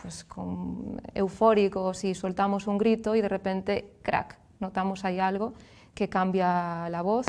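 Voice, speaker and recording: A woman in her thirties with a Galician Spanish accent, a speech therapist explaining clearly and patiently as a guest in a TV studio. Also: mid-range voice, steady tone, a little slow, a little mellow. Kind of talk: explanatory interview answers.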